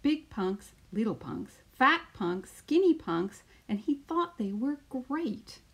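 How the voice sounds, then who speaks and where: A woman in her fifties, a teacher, speaking to pupils and reading a children's picture book aloud with deliberate, emphatic phrasing. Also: fairly high pitch, very animated, gravelly, slightly rough. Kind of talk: children's storybook read-aloud.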